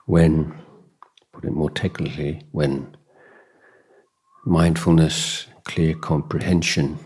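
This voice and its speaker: A man with an extremely low, husky voice leads a guided meditation. He speaks as a dhamma teacher in short, deliberate phrases, leaving long pauses between them. The delivery is slow and measured throughout. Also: steady tone, darkish tone. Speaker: Buddhist monk and meditation teacher.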